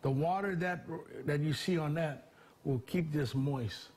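A man in his sixties showing a kitchen tip, his voice a little high for a man. He talks in a relaxed, folksy way with plenty of fillers, and faint room noise sits behind him. Lapel mic in a home kitchen. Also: measured pace. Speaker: chef. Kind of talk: cooking demonstration.

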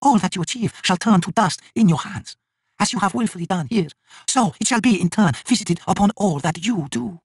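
A man puts on a sorrowful elder goddess in a fantasy audiobook. The voice is high, breathy and husky, with a solemn weight to each phrase. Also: fairly quick, no room sound, studio quality. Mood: solemn cursing.

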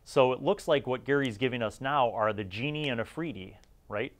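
A man's voice: mid-range voice, normal speed. A middle-aged man giving casual, opinionated review commentary in an informal, conversational way as the host of a series.

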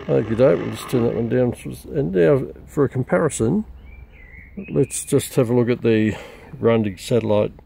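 An older Australian man casually demonstrating radios outdoors in a garden, relaxed and rambling with many fillers. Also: mid-range voice, normal speed, gravelly. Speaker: radio hobbyist presenting receiver comparison. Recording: noisy outdoor recording.

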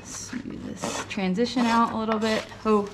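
A young woman giving a casual hands-on hoof trimming tutorial, thinking aloud in short, halting phrases as she works in a barn. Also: a little high, steady tone. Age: young adult. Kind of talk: casual hands-on demonstration talk. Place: indoor barn with concrete floor. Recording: clear rasping and clattering noise. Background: filing, scraping and clatter of tools.

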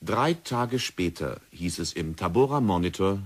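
A man narrating a vintage radio play in a steady, measured way. His voice is very deep and has a husky edge. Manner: measured storytelling. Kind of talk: scripted narration of a radio drama.

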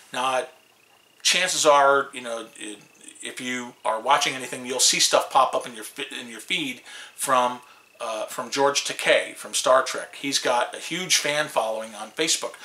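A man's voice: mid-range voice, bright, forceful tone, echoey room. A man in his fifties with a Chicago accent, vlogging to camera in a small room, rambling with many restarts and fillers. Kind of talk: casual talk to camera.

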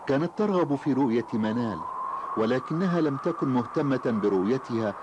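A man's voice: mid-range voice, expressive, brisk, lo-fi sound, noisy background. A man narrating scripted stories for children.

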